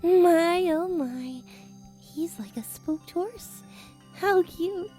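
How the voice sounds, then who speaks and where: Woman playing a dreamy, high-voiced, eerie teenage girl. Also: warm tone, soft background music, full character voice. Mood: amused. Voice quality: dreamy, distant. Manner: condescending, unhurried musing. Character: eerie, playful barefoot teenage spirit-summoning girl. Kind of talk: fantasy audiobook character acting.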